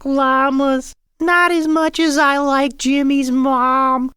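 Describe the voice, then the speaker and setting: A man's voice: very high voice, level tone, slow pace, bright, forceful tone, dry recording, studio quality, pitched above the performer's own voice, full character voice. A man voicing a nerdy, awkward cartoon boy with a nasal, pinched voice and an earnest manner. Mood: dreamy, earnest.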